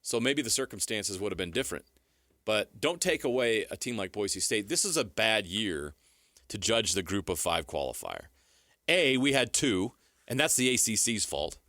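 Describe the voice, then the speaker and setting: Bright-voiced American man, firm and low-pitched. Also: sing-song, normal speed, dry recording, studio quality. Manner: emphatic, firm, repeats points. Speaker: sports talk show commentator. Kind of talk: opinionated sports talk.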